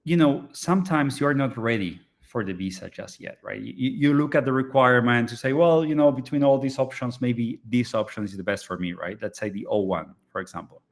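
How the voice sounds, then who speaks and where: A man's voice: medium pitch, a little fast, hardly any pauses. A man originally from Argentina, speaking English as a startup founder in a live online interview, hesitant and full of fillers and restarts.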